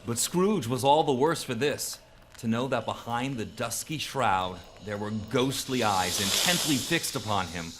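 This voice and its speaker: A man's voice: medium pitch, lively intonation, a little slow. A man reading scripted dramatic narration as the storyteller in a radio drama. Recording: faint hiss behind voice.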